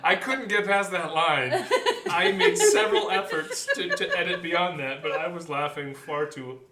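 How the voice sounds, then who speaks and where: A man's voice: high voice, sing-song, moderate pace, roomy sound, crowd noise. A man co-hosting a podcast, chatting casually and telling anecdotes. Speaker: co-host of a behind-the-scenes podcast.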